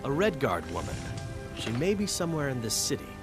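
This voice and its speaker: An actor voicing a guarded, haughty foreign mercenary in a fantasy video game, deliberate and clipped. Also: male voice, medium pitch, huge pitch swings, music in the background. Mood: businesslike, secretive.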